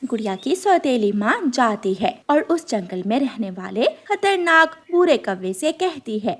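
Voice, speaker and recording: A woman narrating a scripted children's story in an expressive storytelling style. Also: fairly high pitch, measured pace.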